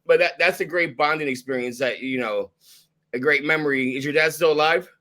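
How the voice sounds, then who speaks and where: A man's voice: a little high, brisk, pushed and bright. Laid-back man hosting a podcast. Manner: laid-back, laughs often, swears casually. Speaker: podcast host. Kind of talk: casual interview chat.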